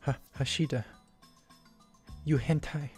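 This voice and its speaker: A man's voice: on the high side, slow pace, darkish tone, pitched above the performer's own voice. A man acts out a young woman scientist from a visual novel game. The voice is lifted well above his own and sounds flustered and earnest, with faint music behind it. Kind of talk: reading game dialogue aloud in character.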